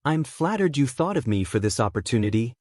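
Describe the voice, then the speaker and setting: A man voicing a smooth, knowing, menacing high-society schemer in an audiobook, speaking in a measured way. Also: big pitch swings, a little mellow, no echo, clean studio sound. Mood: smooth, sly. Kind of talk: audiobook character dialogue.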